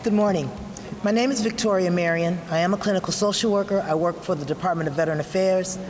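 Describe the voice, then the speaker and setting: A woman's voice: on the low side, darkish tone. A woman from Cleveland, a clinical social worker, giving an earnest statement as a new commission member. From Cleveland, USA. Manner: earnest, pausing for words. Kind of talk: formal public statement. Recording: echoey hall with background noise.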